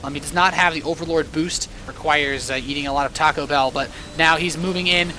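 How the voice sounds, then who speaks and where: A man giving live, animated and joking play-by-play commentary on a video game match as an esports caster. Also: a little high, fairly quick, slight background noise, poor audio.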